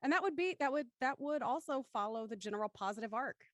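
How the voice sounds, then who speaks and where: A woman's voice: sits high, expressive, fast talker, dry recording, clean studio sound. A woman hosting a panel discussion, talking conversationally as she moderates. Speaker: host of a cast discussion.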